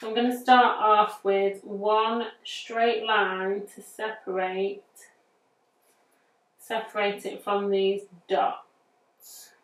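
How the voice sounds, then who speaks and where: A woman in her thirties with a northern English accent talks slowly and casually through a nail tutorial, musing aloud as she works. Her voice sounds roomy, picked up from a little way off the mic in a bright indoor studio. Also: medium pitch, flat delivery, far from the mic. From England. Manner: chatty, easygoing, thinking aloud. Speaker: nail artist demonstrating a design. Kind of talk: casual step-by-step tutorial talk.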